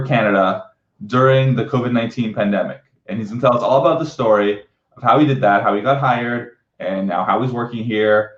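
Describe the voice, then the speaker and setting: A man hosting a casual live webinar interview in an upbeat, informal, chatty way. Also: medium pitch. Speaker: webinar host. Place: indoor room, live online video call. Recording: roomy echo, dull lo-fi webcam sound.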